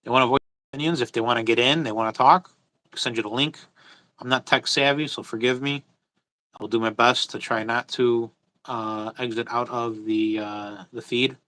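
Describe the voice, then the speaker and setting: A man with a sharp, bright voice at an average pitch hosts an informal livestream. He talks off the cuff in a blunt, opinionated way. He often repeats a phrase to drive it home. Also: steady tone, normal speed, low-quality recording. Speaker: host of a livestream. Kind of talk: casual livestream rant and Q and A, off the cuff.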